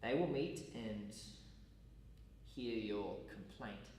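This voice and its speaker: A man performing a stage monologue as an earnest, self-justifying college teacher with formal, pedantic, halting phrasing. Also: on the low side, darkish tone. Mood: firm, aggrieved. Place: large echoey room at a college. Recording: echoey hall, distant mic, faint noise.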